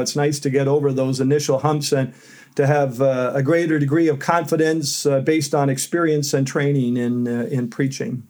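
A middle-aged man, a seminary professor, telling stories as a podcast guest in a loud voice with fillers. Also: a little high. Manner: loud voice, storytelling with fillers and light laughs. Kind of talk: conversational interview answers.

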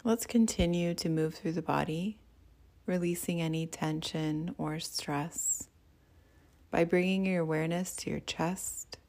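A woman meditation teacher with a fairly low voice and a gravelly fry at the edges. She guides gently and soothingly, taking her time at a very slow pace. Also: steady tone. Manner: soothing, gentle, unhurried guiding.

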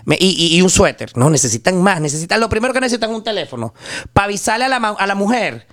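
A Venezuelan man telling a story in a casual podcast chat, slangy and animated, often restarting his sentences. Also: high-pitched, quick pace. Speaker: podcast guest, journalist.

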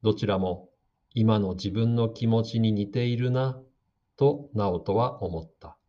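A man giving slow, calm, scripted narration for a radio drama meant for learners. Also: fairly low pitch. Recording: dull lo-fi audio.

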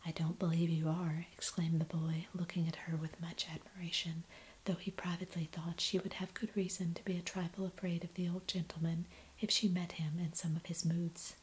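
A woman reading a classic novel aloud in a soft, unvoiced ASMR whisper. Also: on the low side, level tone, fairly quick, rough recording. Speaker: audiobook reader.

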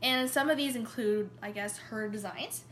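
A woman talks through a presentation in a relaxed, informal way, often pausing and restarting her phrases. She is recorded in an echoey room with faint noise behind. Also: fairly high pitch. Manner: hesitant, many fillers and restarts.

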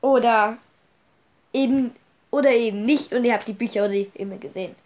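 A woman's voice: fairly high pitch, a little fast, darkish tone. Chatty young woman with a stuffy cold. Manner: rambling, chatty, spells words letter by letter. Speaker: podcast host. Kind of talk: casual solo podcast, reading from notes.

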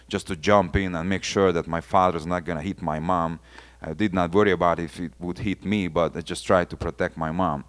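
A man's voice: low voice, clean tone. A middle-aged man from Hungary with a Hungarian accent, preaching his testimony to a church and calling out "amen" often. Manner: fervent, frequent "amen" call-outs. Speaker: visiting missionary preacher.

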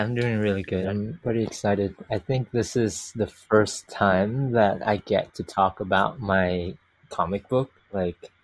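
A man's voice: deep voice, poor audio. A young man from Vietnam, a guest on a podcast, talking thoughtfully and unhurriedly about his work, with many filler words. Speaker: podcast guest, comic artist. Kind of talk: casual interview talk.

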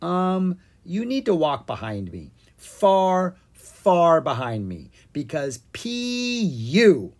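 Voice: medium pitch, wildly swooping pitch, slow, a little mellow, voice pushed up, exaggerated voice. A reader doing a bossy young dragon girl.

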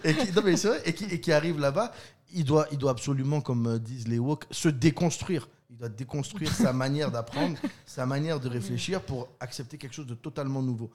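A man's voice: medium pitch, fairly quick. A man co-hosting a casual podcast, telling stories with lots of restarts and laughter. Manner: animated storyteller, stammering restarts, laughs often. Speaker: podcast co-host. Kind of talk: casual chat.

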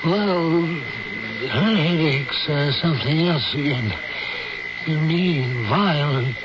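Weary older male doctor, grumbling and unhurried, slightly high voice, noisy old radio recording. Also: lively intonation, lo-fi sound. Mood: grave, resigned. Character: weary ailing older male small-town family doctor. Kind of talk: old-time radio drama acting.

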